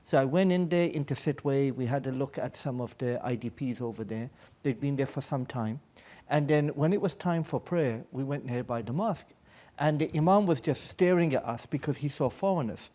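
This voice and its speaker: A man's voice: medium pitch, moderate pace, mellow. A Pakistani-born American man speaking as an aid worker at a public panel, telling personal anecdotes in an earnest, emphatic way.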